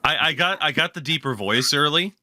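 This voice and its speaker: A man's voice: a little high, expressive, a little fast, bright, forceful tone, no echo, clean studio sound. A young man co-hosting a podcast, chatting casually, rambling and correcting himself as he goes, swearing now and then. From lives in Maine. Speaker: podcast co-host. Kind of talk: casual chat.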